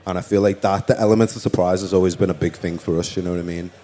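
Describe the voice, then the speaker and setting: A man with a Liverpool accent chats loosely as an interview guest. His voice sits a bit low and sounds warm and mellow. He rambles easily, leaning on "like" and "you know what I mean". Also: steady tone, very fast. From Liverpool, England. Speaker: musician guest. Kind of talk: casual interview chat.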